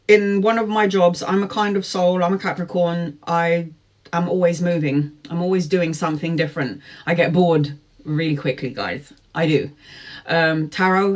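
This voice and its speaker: High-pitched guy in his 40s, tarot reader, just chatting and rambling through a story. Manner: rambling, chatty, frequent fillers and asides. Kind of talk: casual storytelling to camera.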